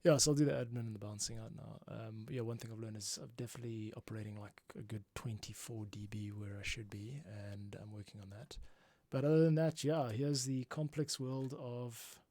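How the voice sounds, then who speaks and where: A man's voice: medium pitch, steady tone, a little fast, few pauses, a little mellow. A man hosting a livestream, casually thinking aloud as he works. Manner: laid-back, thinking aloud. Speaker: livestream host, composer. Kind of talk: casual work-along commentary.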